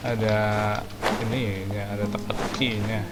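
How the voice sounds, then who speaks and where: A man's voice: fairly low pitch, little pitch movement, normal speed, loud music behind the voice. A man with an Indonesian accent giving casual, slangy gameplay commentary with mild swearing, as a streamer. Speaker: gaming streamer. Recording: heavy noise and game sound.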